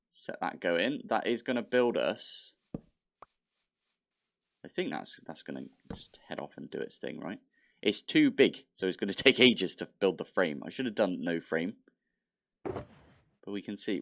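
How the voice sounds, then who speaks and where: Guy chatting over his minecraft run, deep voice but bouncy, bit stuffy nosed, grumbles at stuff. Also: creaky, a bit bright. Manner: chatty, thinking aloud, mild exasperation. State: slightly blocked nose. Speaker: modded Minecraft let's play host. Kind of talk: casual gameplay commentary.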